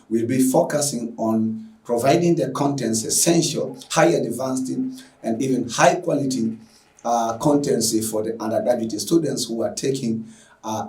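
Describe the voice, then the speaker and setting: A man's voice: mid-range voice, warm tone, roomy sound, distant mic, low-quality recording. A man from Tanzania giving an effusive, filler-heavy welcome as an online lecturer. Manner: effusive, repetitive, with frequent fillers. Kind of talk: lecture introduction.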